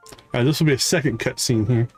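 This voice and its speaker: Male game streamer, mid-pitched, casual commentary with soft music underneath. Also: fast talker. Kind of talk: casual gameplay commentary, reading dialogue aloud. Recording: music playing behind the voice.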